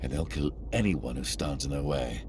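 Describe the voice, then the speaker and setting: An actor playing a sly, cornered arms dealer in a video game, smug and menacing, with a drawling, theatrical delivery. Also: male voice, rumbling low, moderate pace, background noise. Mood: ominous, smug. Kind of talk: video game cutscene acting. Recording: music behind the voice.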